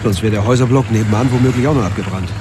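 An actor playing a commanding, curt police captain in a German radio drama. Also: male voice, on the low side, very fast, noisy background, natural voice. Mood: businesslike, sympathetic. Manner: curt, authoritative.

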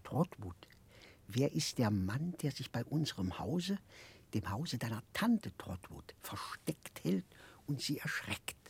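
A childlike, muddled gentleman in a 1960s German radio drama. He confides in a very husky, breathy half-whisper. His halting, conspiratorial speech moves slowly and keeps losing its thread, then swings up and down in pitch. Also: sing-song, heavily acted. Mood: secretive, awed. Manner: halting, confused, conspiratorial, self-correcting.

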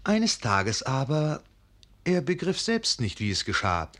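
A man's voice: mid-range voice, huge pitch swings, unhurried, fairly bright tone. A man narrating a literary fairy tale in a scripted, storytelling style for a radio play.

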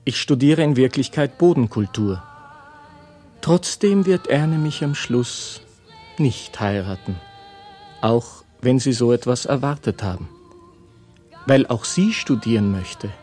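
An actor playing a plain-spoken young student who addresses the listeners in a radio play. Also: male voice, mid-range voice, expressive, slow pace, pauses often, a little mellow, faint music behind. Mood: matter-of-fact. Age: young adult. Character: young student son introducing himself, plain-spoken, matter-of-fact.

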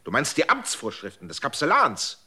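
A man's voice: medium pitch, huge pitch swings, normal speed, pushed and bright, slightly rough, exaggerated voice. An actor playing a desperate, manipulative man in a radio drama, coaxing and then threatening. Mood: defiant. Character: desperate, manipulative man seeking longer life.

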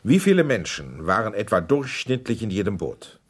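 Husky, insistent inquiry interrogator. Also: male voice, on the low side, big pitch swings, moderate pace. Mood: probing, formal. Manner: probing, insistent, formal. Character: persistent male senator interrogating witnesses at inquiry. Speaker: investigating questioner. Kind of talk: German documentary radio drama, formal questioning.

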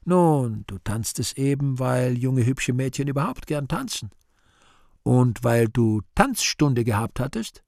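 An actor playing an urbane, sardonic Devil in a radio play, speaking with deliberate irony and mock courtesy. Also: male voice, medium pitch, lively intonation, vocal fry, darkish tone, dry recording, clean studio sound. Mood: coaxing. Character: urbane, sardonic Devil interrogating dead sinners. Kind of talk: theatrical radio play monologue.

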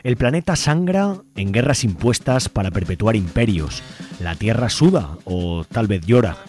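A man's voice: on the low side, expressive, unhurried, darkish tone. A man with an accent from Spain reading scripted narration as co-host of a radio show. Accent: Spain Spanish. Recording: music bed behind the voice. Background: music.

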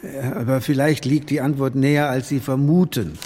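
A male actor as a detective chief in a radio crime drama, blunt and drily ironic. He probes in a medium-pitched voice that rings out with long reverb. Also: steady tone, moderate pace, mellow, a little hiss or hum, close to an everyday voice. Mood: probing. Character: male police detective chief, brusque, probing, dry.

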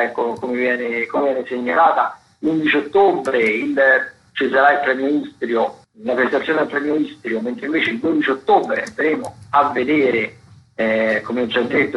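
Man, mid-pitched, radio arts presenter, halting and repetitive, muffled lo-fi broadcast. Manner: halting, repetitive, filler-heavy delivery. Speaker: radio host. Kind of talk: radio arts listings commentary, loosely read.

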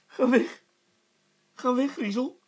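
A man's voice: extremely high pitch, takes it slowly, a little mellow, husky, pitched above the performer's own voice, full character voice. A man voicing a stubborn, frightened female housekeeper in a story, calling out shrilly and insistently. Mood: frightened, shrieking.

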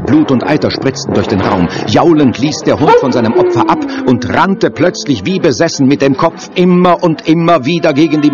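A man narrating a lurid horror radio play gravely and dramatically from a script. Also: on the low side, lightly acted. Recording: dull muffled audio over music.

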